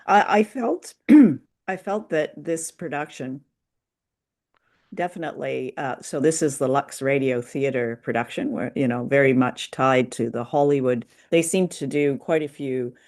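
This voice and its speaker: Low-toned woman, tentative podcast co-host. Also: a little mellow. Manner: tentative, pausing explanatory delivery. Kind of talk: unscripted discussion of old-time radio drama.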